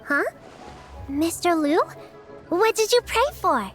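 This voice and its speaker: High-voiced cheerful little girl. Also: lively intonation, slow pace, heavily acted. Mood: curious. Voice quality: childlike. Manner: sweet, earnest. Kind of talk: video game voice acting. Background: music.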